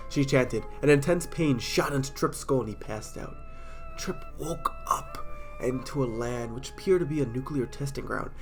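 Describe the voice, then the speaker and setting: A man with a stuffy cold, casually reading horror stories aloud in a chatty, self-deprecating way and sometimes stumbling over words. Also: mid-range voice. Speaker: storyteller.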